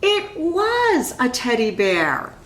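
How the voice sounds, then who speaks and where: A woman reading a picture book aloud to children in an expressive, animated, dramatic way. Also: high-pitched, slow, background noise. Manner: animated, dramatizes dialogue, asks listeners questions. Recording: echoey room.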